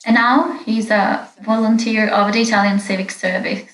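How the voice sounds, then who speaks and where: A woman interpreting into English for a webinar, speaking in a steady, measured way with small fillers. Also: mid-range voice, reverberant, low-quality recording. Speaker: interpreter and moderator.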